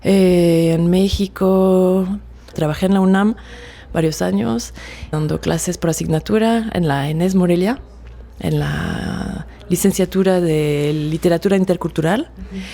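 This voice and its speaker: A French woman in her forties, speaking Spanish as a second language, recalls her life as a writer in a halting, reflective way with frequent restarts. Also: fairly low pitch, vocal fry. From France. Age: 40s. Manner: soft-spoken, halting with fillers and restarts. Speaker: author interviewee. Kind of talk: reflective personal testimony.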